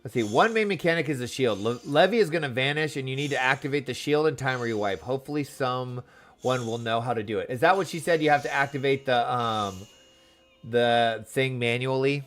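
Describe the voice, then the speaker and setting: A man's voice: mid-range voice, fairly quick, fairly bright tone. Man, game streamer, casual and enthusiastic, informal slang, mid-range voice, light music behind.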